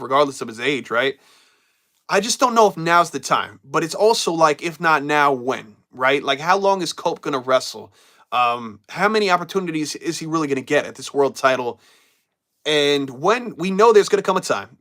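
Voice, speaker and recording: A man's voice: fairly high pitch, fairly bright tone. A man hosting a live wrestling stream, chatting casually and reading viewer comments while getting over a cold. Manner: casual, loose, repeats himself and trails off. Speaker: wrestling show host.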